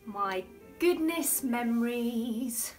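A woman's voice: a little high, lively intonation, very slow, darkish tone, soft background music. A woman playing a rambling, easily distracted older lady who is baffled by technology, talking to a phone camera. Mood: rambling, genteel. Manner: rambling, easily distracted, prim yet crude. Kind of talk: comedic character monologue to camera.

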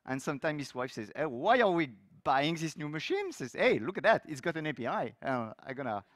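A middle-aged man from France with a French accent, giving a technical talk on a conference stage with loose, lively phrasing and many fillers. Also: on the high side, fairly quick, no echo. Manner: loose, lively delivery with frequent fillers and restarts. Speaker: conference speaker. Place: large conference hall stage.

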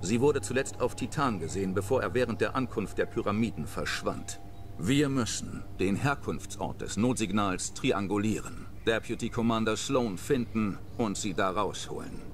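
A man playing a grave, resolute military commander in a video game, speaking with solemn, measured authority. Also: on the low side, expressive, slight background noise, lightly acted. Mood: grave, determined. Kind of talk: scripted game narration and mission briefing.

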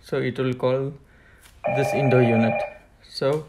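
Man with a creaky voice, giving setup instructions. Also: medium pitch, steady tone, a little slow, heavy background noise. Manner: step-by-step, plain instructional. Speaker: tutorial presenter. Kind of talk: hands-on product setup walkthrough.

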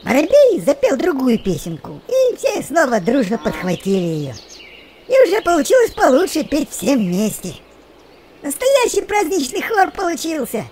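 A woman tells a children's tale with great animation. Her voice is high and swings widely in pitch. Birdsong and nature ambience sit behind her. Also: normal speed. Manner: expressive, animated. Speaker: storyteller. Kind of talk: scripted children's story narration. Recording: noticeable background noise with birdsong.

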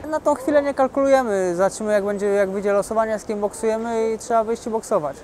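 A man's voice: high voice, fast talker, darkish tone. A young man, a boxer, answering interview questions in a plain, matter-of-fact way. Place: outdoors by a glass building. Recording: faint outdoor noise.

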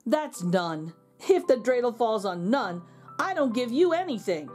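Sly trickster man voiced by a woman, storybook hero, breezy, teasing, mock-innocent, animated swooping pitch, faint music. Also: unhurried, husky, exaggerated voice. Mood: sly, instructive. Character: playful, sly man who outwits goblins. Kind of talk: children's storybook reading.

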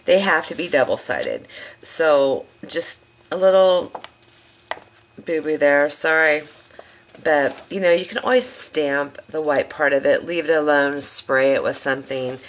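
Chatty low-voiced woman crafter, a little hoarse. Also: animated, a little slow, a little hiss or hum. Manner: chatty, rambling, enthusiastic asides. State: slightly hoarse, lost voice. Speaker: craft video host. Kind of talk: casual craft product demonstration.

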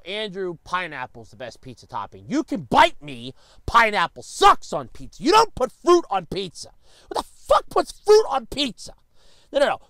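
A man's voice: high-pitched, a bit bright, no room sound. A man, slightly stuffy with a cold, delivering an exasperated, emphatic, sweary comedic solo rant as host of his own series.

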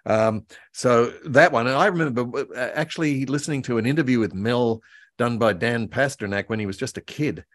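A man's voice: mid-range voice, fairly quick, fairly bright tone, no room sound, studio quality. An older man with an Australian accent, casually and enthusiastically reminiscing as an interview guest on a video call. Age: older, about 70. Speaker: interview guest, voice actor and author. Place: room at home, on a video call.

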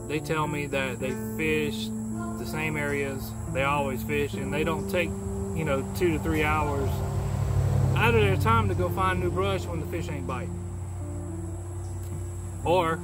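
A young man, giving fishing tips in a chatty, folksy, rambling way, recorded outdoors on a close camera. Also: on the high side. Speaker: fishing channel host. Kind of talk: casual fishing tips vlog. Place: outdoors in a leafy backyard. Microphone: camera close at arm's length.

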